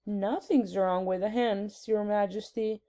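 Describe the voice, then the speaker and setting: Palace butler for a storybook, played by a woman: discreet, deferential, hushed, unhurried delivery. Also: mid-range voice, fairly even intonation, lightly acted.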